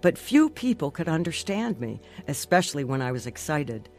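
A woman's voice: deep voice, very animated, normal speed. Determined lady narrating her life story for a radio drama, bit of music under it. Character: determined woman recounting her recovery from a stroke. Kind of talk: scripted first-person radio drama narration.

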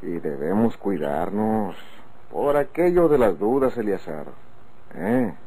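A man's voice: lowish voice, very animated, slow, mellow, husky, heavily acted. A man acting a chatty, wary rancher with a Mexican accent in a golden-age radio drama. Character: Don Otilio, chatty wary male rancher. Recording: faintly noisy old radio recording.

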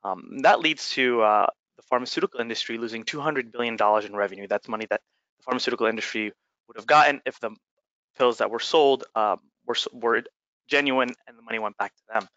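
A man's voice: mid-range voice, fairly quick, bright, forceful tone, no room sound, highs cut off. A man from Egypt, a startup founder, giving an earnest webinar presentation with many fillers.

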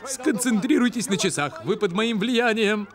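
A man's voice: high-pitched, expressive, moderate pace, a crowd in the background. An actor voicing a bossy male owl who lectures theatrically like a nature-show presenter in a cartoon dub. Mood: lecturing. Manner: didactic, theatrical.

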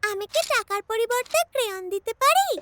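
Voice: extremely high pitch, lively intonation, brisk, heavy background noise. A performer voicing a small, playful child in a children's cartoon, with a childlike way of talking.

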